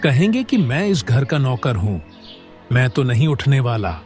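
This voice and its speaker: A lazy, whining young son, acted by a man, from a cartoon village tale. The voice is mid-pitched with big lively swings, over faint music. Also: measured pace. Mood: lazy complaining. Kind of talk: cartoon moral story voice acting.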